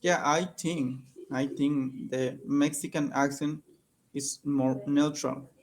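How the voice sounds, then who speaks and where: A man learning English on a video call, speaking in a slow, halting way with many restarts. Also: mid-range voice, flat delivery, slight background noise, poor audio. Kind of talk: casual chat on a video call.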